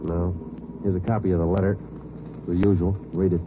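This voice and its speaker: A man playing a clipped, deadpan police sergeant. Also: low voice, fairly quick, natural voice. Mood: businesslike. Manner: clipped, matter-of-fact, deadpan delivery. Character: terse, steady male police detective sergeant narrating. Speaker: narrator and lead detective. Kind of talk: 1950s radio drama acting. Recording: tinny old radio broadcast with hiss.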